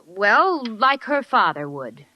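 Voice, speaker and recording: A woman's voice: mid-range voice, sing-song, slow pace, no echo, muffled recording. An actress playing a sensible, exasperated young woman who coaxes and worries with wry patience, in a 1940s radio sitcom. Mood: brisk, concerned. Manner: wry, coaxing, concerned. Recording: slightly noisy vintage 1940s radio recording.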